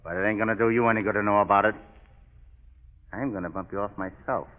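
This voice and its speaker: A man's voice: lowish voice, steady tone, fast talker, close to an everyday voice. An actor playing a cocky, menacing killer gangster in vintage radio crime drama, with a slangy, sneering tough-guy delivery. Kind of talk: 1930s-40s radio crime drama acting. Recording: tinny, echoey vintage radio recording.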